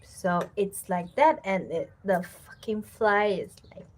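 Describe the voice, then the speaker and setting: A woman's voice: mid-range voice, slow. A woman in her thirties from Taiwan, a Mandarin speaker talking in English, chatting casually and laughing often as she hosts her own language channel. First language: Mandarin Chinese. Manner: relaxed and chatty, with many fillers and repeated words, laughing often. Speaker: language teacher and channel host. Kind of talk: casual chat to camera.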